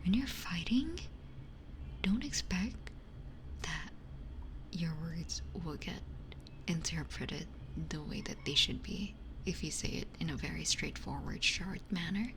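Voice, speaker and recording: A woman whispering casually to live viewers in an ASMR style, hushed and breathy, close on a binaural microphone. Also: low voice. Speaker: ASMR streamer.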